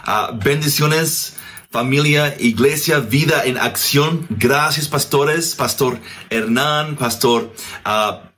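A man from Australia in his thirties, a native English speaker, speaking slow, halting Spanish in a casual message recorded at home as a guest minister. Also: mid-range voice, pushed and bright. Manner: halting, searching for words.

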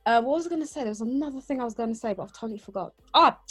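Female co-host, emphatic and agreeable, slightly high voice. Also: lively intonation, very fast, fairly bright tone. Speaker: podcast co-host. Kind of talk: casual chat.

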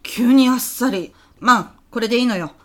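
A woman's voice: fairly high pitch, normal speed, close to an everyday voice. A woman playing an outspoken, easily exasperated housewife calling a support line in a comedy audio drama. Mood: hesitant, practical.

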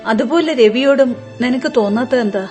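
Actress as a high-pitched, reproachful mother-in-law. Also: normal speed, a little mellow, soft background music, close to an everyday voice. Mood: stern, questioning. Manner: earnest, reproachful. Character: worried, disapproving middle-aged mother-in-law, protective of her son. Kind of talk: Malayalam radio play acting.

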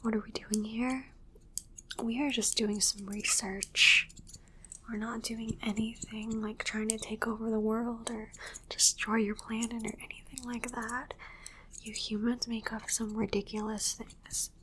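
A woman whispering an ASMR roleplay as a calm, reassuring alien medical examiner, repeating short reassurances in a hushed, soothing way. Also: on the high side, a little slow, a little hiss or hum, low-quality recording.

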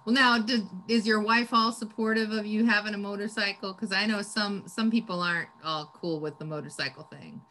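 A woman's voice: mid-range voice, steady tone, fairly quick, few pauses, pushed and bright, poor audio. A middle-aged woman hosting a podcast interview, chatty and teasing, often laughing as she talks. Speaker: podcast host. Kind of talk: casual interview chat.

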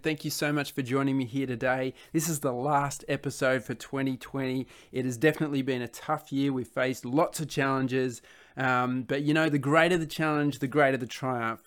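A man hosting a podcast, reading an upbeat intro and interviewing a guest. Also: mid-range voice. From Australia. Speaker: podcast host.

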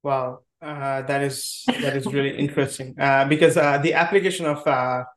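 A man's voice: medium pitch, fairly even intonation, moderate pace, a bit bright. A man hosting an interview podcast, speaking with many hesitant "uh" fillers. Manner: heavy use of "uh" fillers. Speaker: podcast host. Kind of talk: conversational interview. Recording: echoey room.

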